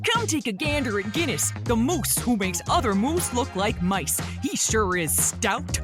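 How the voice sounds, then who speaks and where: A woman's voice: on the high side, sing-song, a little slow. An actress playing a brash, bawdy plus-size woman in a cheeky radio commercial, delivered with hammy, suggestive salesmanship. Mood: bawdy, upbeat. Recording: music bed behind the voice.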